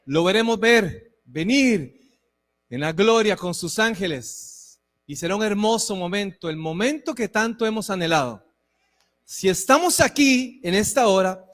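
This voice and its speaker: A man in his forties preaching to a church, conversational and warm, with frequent asides to the congregation. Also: sits high. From Costa Rica. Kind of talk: sermon and church address. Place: church hall. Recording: long reverb of a hall.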